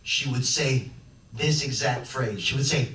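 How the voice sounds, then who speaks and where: A man's voice: medium pitch, a little slow, a bit bright, slight background noise. A young man from Chicago doing a stand-up comedy set on a club stage, talking in a loose, rambling way full of restarts. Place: comedy club stage before a laughing audience. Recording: echoey club room from far off.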